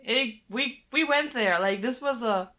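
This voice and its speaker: Bright, high-voiced woman in her late twenties. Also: measured pace. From Germany. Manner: blunt, sweary, sardonic. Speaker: podcast co-host. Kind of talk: casual chat.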